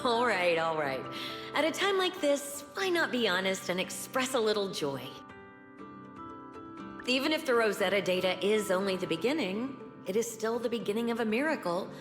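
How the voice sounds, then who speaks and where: An actress playing a composed, encouraging peacemaker in a video game, measured and gently teasing. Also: female voice, mid-range voice, expressive, lightly acted. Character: composed, encouraging woman, peacemaker among colleagues. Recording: echoing hall, loud music behind.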